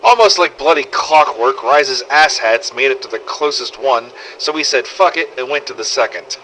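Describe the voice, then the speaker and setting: An actor playing a foul-mouthed, cynical zombie-apocalypse survivor, narrating a wry audio diary through an old cassette recorder filter. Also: male voice, medium pitch, normal speed, pushed and bright, low-quality recording. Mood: exasperated, sardonic. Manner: sweary, sardonic, world-weary storytelling. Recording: old cassette tape with faint noise.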